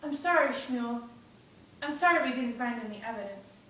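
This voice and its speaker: A woman's voice: a little high, lively intonation, normal speed, sharp tone. A woman voicing a naive, impatient young boy in an earnest, emphatic dramatic storytelling performance. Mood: apologetic, earnest. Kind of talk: dramatic storytelling for speech competition. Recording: echoey room, distant mic, faint noise.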